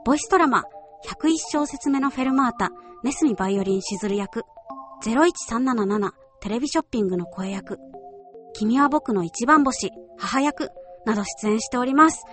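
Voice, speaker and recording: A woman's voice: a little high, lively intonation, normal speed, faint music behind. A woman presenting a solo radio show, addressing listeners directly in a polite, explanatory way. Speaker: radio show host, voice actress.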